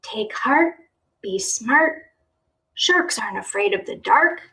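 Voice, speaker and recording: A woman plays a young boy shark from a children's storybook. He is nervous but tries to sound brave, often dropping to a whisper. The delivery is unhurried and higher pitched, with a slightly creaky edge. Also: expressive, bright, forceful tone, slight background noise, full character voice. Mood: self-soothing, nervous. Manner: rhyming, self-reassuring, often whispering.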